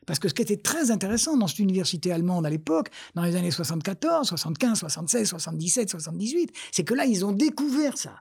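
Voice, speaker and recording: Husky older Parisian man telling anecdotes. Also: high-pitched, sing-song, fast talker, hardly any pauses, darkish tone. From France. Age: 70s. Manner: digressive, self-deprecating, emphatic repetitions. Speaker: interview guest, academic researcher. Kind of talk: anecdotal interview talk.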